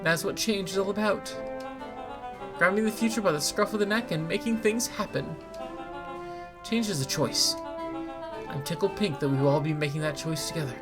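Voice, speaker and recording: A woman's voice: fairly low pitch, moderate pace, pauses often, natural voice. A woman voicing a grandiose, folksy male town benefactor in a video game, giving emphatic oratory. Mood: rousing, folksy. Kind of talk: dramatic reading of video game dialogue. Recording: music playing behind the voice.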